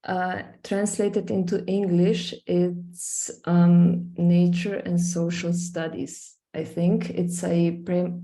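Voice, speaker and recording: A woman's voice: fairly low pitch, warm tone. A young woman from Slovenia with a Slovenian accent, presenting her art project over a screen share, talking hesitantly with many fillers and restarts. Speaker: visual artist presenting her work.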